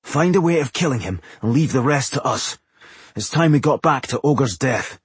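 Guy doing a stern dwarf soldier for an audiobook, commanding, raspy, dry close recording. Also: medium pitch, animated, moderate pace, no echo. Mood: firm, grave. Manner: stern, duty-minded.

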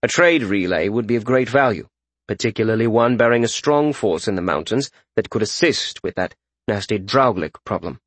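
A man's voice: on the low side, lively intonation, normal speed, no room sound. A man voicing a cool, calculating warrior negotiator in a fantasy audiobook, formal and controlled. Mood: composed, persuasive.

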